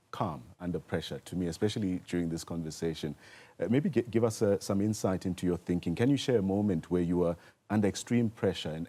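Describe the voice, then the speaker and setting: A South African man interviewing a guest on television news, putting questions in halting, deliberate phrases. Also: sits low, warm tone.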